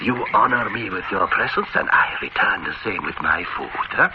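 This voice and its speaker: An actor playing a suave, secretive restaurant owner in a vintage radio drama, speaking with smooth, ingratiating, drawn-out phrasing. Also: male voice, low voice, expressive. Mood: silky. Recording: muffled old radio recording with heavy hiss.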